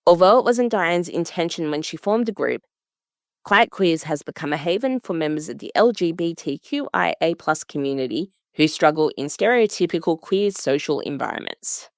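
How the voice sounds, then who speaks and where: A woman's voice: low voice, animated, moderate pace, no room sound. Woman narrating, close dry sound. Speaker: radio story narrator and producer. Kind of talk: scripted radio feature narration.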